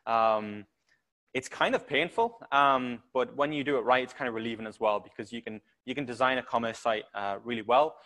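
A man's voice: medium pitch, normal speed, fairly bright tone. A man from England with a Geordie accent, giving a casual developer talk to a meetup audience, full of ums and restarts. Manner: rambling, many ums and restarts. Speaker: conference speaker, developer. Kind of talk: casual tech talk presentation.

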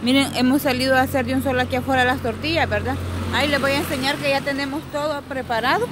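A woman's voice: sits high, fairly even intonation, fast. A woman with a Salvadoran Spanish accent chatting casually as she hosts a street cooking vlog outdoors. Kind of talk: casual chat while showing street food. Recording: loud street traffic noise. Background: passing vehicles and street ambience.

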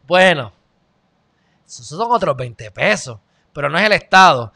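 A man in his thirties with a Puerto Rican accent, hosting a live stream and reading viewer comments close to a studio mic, animated and shifting between loud and quiet. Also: on the high side, a little slow, gravelly. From Puerto Rico. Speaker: host. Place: small indoor studio. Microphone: studio mic on stand, close.

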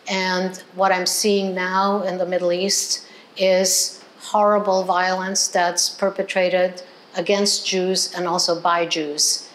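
An older woman speaking as an academic on a panel, articulate, earnest and measured. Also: on the low side, a little hiss or hum, poor audio. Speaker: professor and writer, panelist. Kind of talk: reflective panel discussion. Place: gallery room, indoors.

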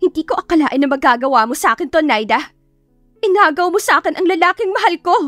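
A woman's voice: high voice, fast talker, a bit bright, no echo, faint music behind, pitched above the performer's own voice. A woman voicing a jealous, spiteful young woman who speaks accusingly in a dramatised audiobook. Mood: furious, accusing. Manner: accusing, confrontational.

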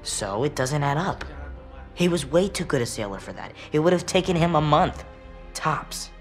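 A man's voice: a little high, lively intonation, moderate pace, soft background music. An actor playing a wary, cocky, bookish American teenage boy in a naturalistic video game scene, blunt and earnest. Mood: earnest, challenging.

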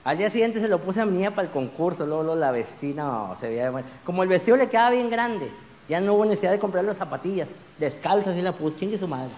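Man, stand-up comedian, high pitch, animated and crude, reverberant club stage. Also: quick pace, slight background noise. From Mexico. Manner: animated, gesturing broadly, crude slang, rising to shouts on punchlines. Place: small club stage with audience. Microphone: handheld mic.

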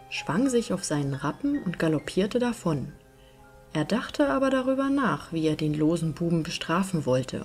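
A woman reading a fairy tale aloud as scripted audiobook narration. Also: on the low side. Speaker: storyteller, audiobook reader. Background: soft music.

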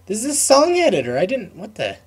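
A young man giving a casual, unscripted software tutorial, rambling and trailing off mid-thought in an informal way. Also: sits high, wildly swooping pitch, fairly quick, breathy edge.

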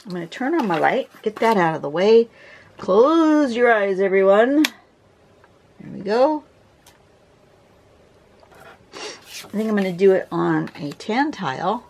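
A woman with a congested voice teaching a drawing pattern in a casual, chatty way, often restarting and clearing her throat. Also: mid-range voice, big pitch swings, measured pace, darkish tone, slight background noise. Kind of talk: casual tutorial narration. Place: home desk, camera over the drawing surface.

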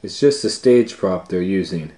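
A man's voice: deep voice, animated, normal speed, mellow. A man voicing a young, laid-back but cowardly cartoon slacker with casual, slangy, hesitant talk. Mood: breezy.

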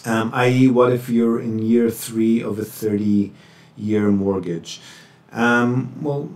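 A man presenting a webinar and answering questions in a measured, explanatory way with hesitant pauses. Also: on the low side, fairly even intonation, a little mellow. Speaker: webinar presenter, property buyer's agent. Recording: roomy echo, distant microphone.

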